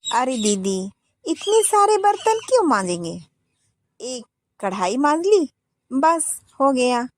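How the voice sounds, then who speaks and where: A woman's voice: high voice, very animated, slow, pitched above the performer's own voice, exaggerated voice. A woman doing a cheeky young daughter-in-law. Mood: scheming. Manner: eager, coaxing.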